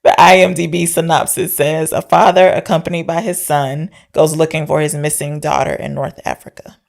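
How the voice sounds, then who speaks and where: Low-voiced woman chatting informally about films. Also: steady tone, moderate pace, creaky. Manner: informal, questioning, unsure of details. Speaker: podcast co-host discussing films. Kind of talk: casual conversation, recapping a film.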